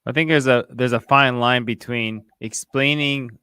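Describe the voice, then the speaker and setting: A man co-hosting a podcast and chatting with the guest in a relaxed, agreeable way. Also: mid-range voice, normal speed, clear, resonant tone, no echo. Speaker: podcast co-host. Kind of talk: casual interview chat.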